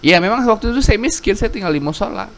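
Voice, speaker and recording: A man's voice: high-pitched, lively intonation, fast talker, slight background noise. A man in his thirties, a YouTube storyteller reading out a viewer's letter and then telling his own story in a rambling, self-correcting way.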